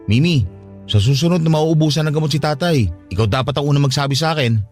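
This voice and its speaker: A man's voice: fairly high pitch, fast, a little mellow, faint music behind, lightly acted. Man acting as a weary Filipino father. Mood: worried. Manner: earnest, subdued, respectful. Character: weary middle-aged father, unlucky, worried, respectful son. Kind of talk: Filipino radio drama acting.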